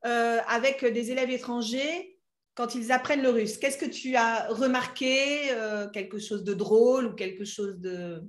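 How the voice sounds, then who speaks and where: French lady hosting a chat, higher voice, lots of euh and laughing. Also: fairly even intonation, unhurried. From France. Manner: chatty, many fillers, laughs often. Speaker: host and French teacher. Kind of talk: friendly interview chat.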